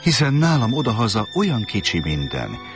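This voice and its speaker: A man voicing a small, gentle boy prince from a tiny planet, speaking high and full of wonder. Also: wildly swooping pitch, music in the background.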